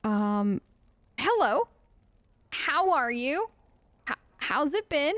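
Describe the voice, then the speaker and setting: A woman gaming streamer with a fairly high voice. She talks in a chatty, curious and slightly tentative way while role-playing through a game. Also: very animated, drawn-out words, a bit bright, no echo. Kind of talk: role-play let's play commentary.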